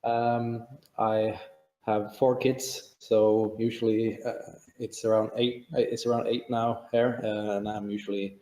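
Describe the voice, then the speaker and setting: Man from norway, low mellow voice, matter-of-fact, roomy audio. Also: little pitch movement, a little slow. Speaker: player.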